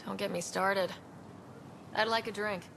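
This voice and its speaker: An actress playing a confident young team leader in a video game, wry and direct. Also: female voice, mid-range voice, fairly even intonation, a little slow, creaky, noisy background.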